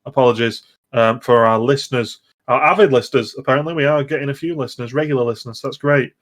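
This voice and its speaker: A man's voice: mid-range voice, steady tone, fast talker. A man hosting a casual gaming podcast, talking in a rambling, hesitant way that often repeats words. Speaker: podcast host.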